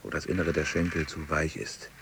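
A man's voice: rumbling low, little pitch movement, brisk, creaky, noisy background. An actor playing a brooding, earnestly boastful male gym member, musing in poetic phrases in a radio play.

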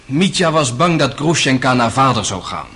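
An actor playing an earnest, gentle young novice monk in a radio drama, speaking soberly and sincerely. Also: male voice, medium pitch, lively intonation, moderate pace. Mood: grave testimony. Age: young adult.

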